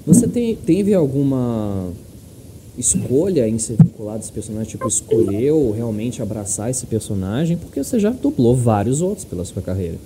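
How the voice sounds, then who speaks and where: A man's voice: mid-range voice, sing-song, moderate pace, background noise. A man in his thirties with a Brazilian accent co-hosting a casual podcast interview, explaining things conversationally. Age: 30s. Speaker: podcast co-host. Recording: music in the background.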